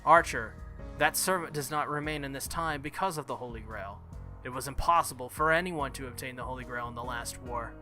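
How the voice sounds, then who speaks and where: A man voicing a formal, resolute young female warrior king from a visual novel, speaking in measured sentences. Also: mid-range voice, bright, forceful tone, soft background music, natural voice. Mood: firm, grave.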